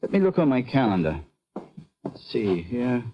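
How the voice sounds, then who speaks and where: An actor playing a proud, defensive immigrant European doctor in a vintage radio drama, speaking formally, precisely and with earnest indignation. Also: male voice, mid-range voice, sing-song, normal speed, dull audio, a little hiss or hum. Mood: agitated, earnest.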